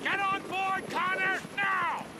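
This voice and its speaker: A man's voice: very high-pitched, moderate pace. A man playing a hard-nosed military commander in a video game, curt and barking. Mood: stern, barking. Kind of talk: video game cinematic acting. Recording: loud music and vehicle noise behind.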